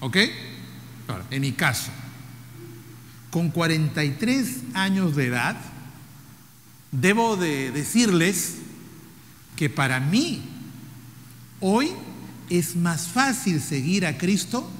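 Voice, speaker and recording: A Peruvian man in his fifties preaching a sermon, who asks the congregation rhetorical questions, repeats key phrases and now and then drops to a soft, low voice. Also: big pitch swings, slow, pauses often, a little hiss or hum. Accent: Peruvian Spanish. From Peru. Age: 50s. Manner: jokes with the audience, drops to soft low tones for emphasis. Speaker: pastor. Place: church hall. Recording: reverberant church hall.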